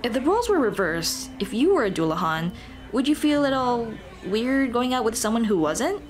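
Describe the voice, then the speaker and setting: A woman playing a shy, earnest Dullahan schoolteacher in an ASMR roleplay, speaking softly and expressively. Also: on the high side, sing-song, moderate pace, soft background music. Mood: earnest, warm. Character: shy, earnest female Dullahan teacher, headless monster girl on a date.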